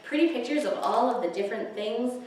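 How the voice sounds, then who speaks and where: Lady doing kids story time, kinda high voice, asks little questions, far from mic with faint noise. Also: lively intonation, fairly quick, darkish tone. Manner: explains pictures, asks young listeners questions. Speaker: children's librarian, story time host. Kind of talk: reading picture books aloud to young children, with asides. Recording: echoey, distant microphone, faint hiss.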